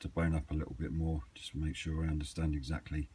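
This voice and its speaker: Low-voiced man, noisy recording. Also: flat delivery, fast talker, dark tone. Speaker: hobbyist vlogger. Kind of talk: casual chat, off-the-cuff equipment vlog. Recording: clearly noisy amateur camera recording.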